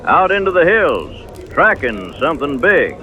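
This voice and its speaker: An actor playing a teasing, boastful frontier saloon regular in a radio drama, talking in a folksy manner. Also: male voice, fairly high pitch, big pitch swings, unhurried, sharp tone, dull audio, soft background music, full character voice.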